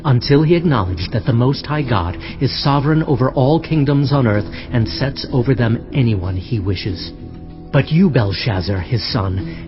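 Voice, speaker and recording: An actor playing a solemn prophet rebuking a proud king, speaking gravely and deliberately in a dramatized scripture reading. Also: mid-range voice, close to an everyday voice. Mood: stern reproach. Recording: muffled sound with music underneath.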